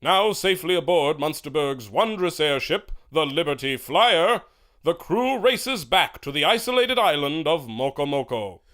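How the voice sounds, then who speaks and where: A man's voice: fairly high pitch, big pitch swings, a little slow, fairly bright tone. A male announcer narrating in the grand, stirring manner of a 1940s radio serial.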